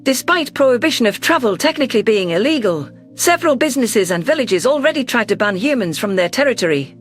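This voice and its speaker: A man narrating a science fiction story in the first person, in an expressive, theatrical manner. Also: very high voice, quick pace, faint music behind.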